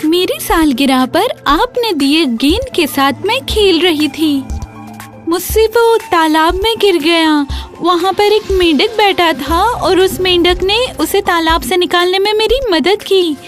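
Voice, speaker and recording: A woman's voice: very high voice, slow pace, soft background music, voice pushed up. A woman voicing a spoiled, easily upset young princess in a children's fairy-tale cartoon, dramatic and girlish. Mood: sheepish.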